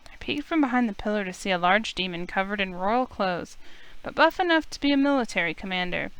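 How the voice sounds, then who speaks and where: A woman's voice: mid-range voice, expressive, brisk, a bit bright, clean tone. A woman streamer reading a visual novel aloud as dramatic first-person narration. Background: faint music.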